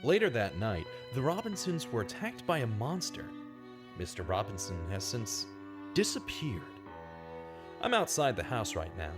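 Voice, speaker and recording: A man's voice: mid-range voice, huge pitch swings, moderate pace. A man acts a small-town investigative journalist in a vintage-style radio drama. He is earnest and confiding, with a dramatic, varied intonation over prominent music. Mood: grave, confiding. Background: prominent instrumental music.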